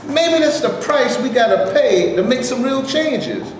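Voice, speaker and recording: A man playing an earnest, determined actor and would-be organizer in an animated staged play reading. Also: very high-pitched, a little slow. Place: indoor room, live staged reading. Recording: echoey room, faint noise.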